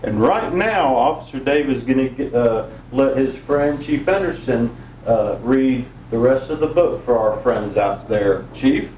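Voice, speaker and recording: A man's voice: mid-range voice, lively intonation, measured pace, a little mellow. A man hosting a children's story time, reading aloud and chatting with his guest. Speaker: police officer, story time host. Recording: echoey, distant mic, faint noise.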